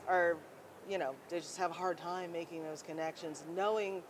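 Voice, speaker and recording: A woman's voice: fairly low pitch, fairly even intonation, moderate pace. A woman hosting an interview show, asking rambling questions with fillers at a conference. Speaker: podcast host. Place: busy conference hall. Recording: noise of a conference floor.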